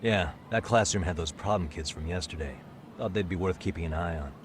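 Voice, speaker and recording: A man playing a calm, casual private detective in his thirties in a video game cutscene, with a dry manner. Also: deep voice, a little fast, slight background noise, natural voice. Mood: serious, low-key.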